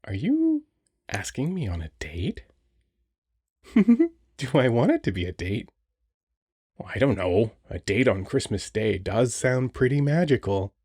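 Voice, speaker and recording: Man playing a husky, playful Christmas elf. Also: mid-range voice, wildly swooping pitch, unhurried, long pauses, darkish tone, no room sound, studio quality, exaggerated voice. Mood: flirty, teasing. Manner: playful, teasing, bubbly, chatty. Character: cheerful, flirty male Christmas elf toymaker. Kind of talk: romantic audio roleplay addressed to listener.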